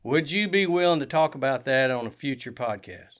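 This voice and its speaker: A man's voice: mid-range voice, lively intonation, fairly quick. Rambling young man co-hosting. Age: 20s. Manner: rambling, many fillers and restarts. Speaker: podcast co-host. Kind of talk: casual chat.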